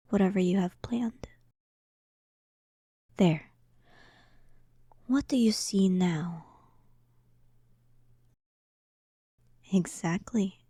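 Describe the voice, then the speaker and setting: A woman playing a dominant, sarcastic demon queen in a roleplay audio drama, soft-voiced, teasing and condescending. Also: mid-range voice, husky, no echo, clean studio sound. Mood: smug, menacing.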